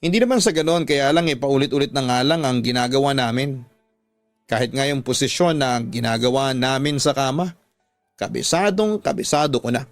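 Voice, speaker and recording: A man's voice: medium pitch, moderate pace, no room sound, faint music behind, close to an everyday voice. A man with a Filipino accent voicing a dissatisfied young woman who confides and complains to her friend in a radio drama. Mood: complaining.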